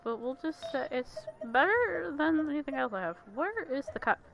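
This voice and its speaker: A woman's voice: sits high, very animated, normal speed. A woman giving casual, informal gameplay commentary, thinking aloud as she plays. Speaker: gaming streamer. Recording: clearly noisy home recording.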